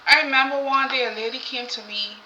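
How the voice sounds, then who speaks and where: A woman's voice: high voice, steady tone, fast, pushed and bright, a little hiss or hum. A woman from Barbados with a Barbadian accent, chatting as co-host and giving firm, emphatic advice. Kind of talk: casual chat. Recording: roomy, slightly dull sound.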